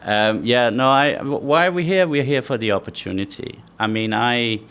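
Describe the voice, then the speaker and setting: A man from Denmark, a company founder answering interview questions in a measured way, thinking aloud with frequent restarts. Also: mid-range voice, a bit bright, clear, resonant tone.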